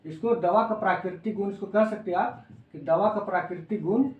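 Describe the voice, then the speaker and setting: A man's voice: sits high, moderate pace, narrow, tinny audio. A man with a Bihari accent giving an informal lecture as a coaching teacher, explaining in simple words, repeating phrases and checking that students follow. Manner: repeats phrases and checks understanding with short tags. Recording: echoey, reverberant room.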